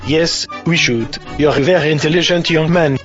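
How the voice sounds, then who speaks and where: A man's voice: a little high, normal speed, muffled recording, faint music behind, lightly acted. A man voicing a bumbling, pompous police officer puppet with a stilted, literal-minded manner. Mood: officious, stilted. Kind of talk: comic puppet show acting.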